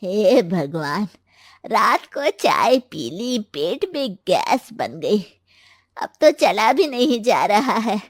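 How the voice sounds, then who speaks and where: A woman's voice: medium pitch, sing-song, slow pace, dry recording, studio quality. A woman voicing a demanding middle-aged mother-in-law in a cartoon, nagging and ordering her daughter-in-law around. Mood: complaining. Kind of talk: cartoon voice acting.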